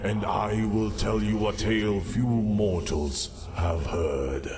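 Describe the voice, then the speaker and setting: An actor playing a cold, scheming man of mystery in a video game, speaking in a measured, formal and deliberate way. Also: lowish voice, a little mellow, slight background noise. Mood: cool, commanding. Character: cold, scheming male mystery figure granting dark errands. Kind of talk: scripted video game dialogue. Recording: game music behind the voice. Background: music.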